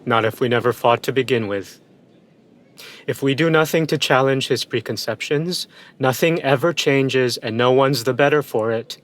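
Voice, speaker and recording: A man's voice: mid-range voice, normal speed, natural voice. A man in an audio play playing an indignant, idealistic young son with an American accent and an impassioned, exasperated manner. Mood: frustrated.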